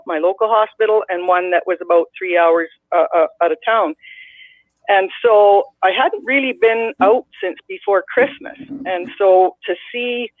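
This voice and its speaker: A woman's voice: mid-range voice, no room sound. A middle-aged woman from Canada calling in, telling a long, blunt, rambling story with many restarts. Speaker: caller. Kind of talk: casual call-in storytelling. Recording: dry tinny phone line.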